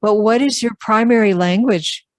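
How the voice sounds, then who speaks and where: A woman's voice: on the high side, moderate pace, no room sound. A woman hosting a podcast, interviewing a guest and praising her work effusively. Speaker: podcast host.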